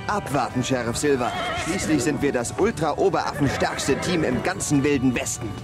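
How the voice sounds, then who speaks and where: An actor playing an eager, cheeky young deputy in a children's Wild West radio play, excitable and impatient. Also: male voice, mid-range voice, normal speed, over loud music, lightly acted. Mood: boastful. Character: eager, boyish, boastful young sheriff's deputy.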